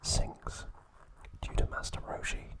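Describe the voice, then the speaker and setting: A man whispering slowly and deliberately in short, hushed phrases, narrating as an ASMR host close to the microphone. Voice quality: breathy, unvoiced whisper.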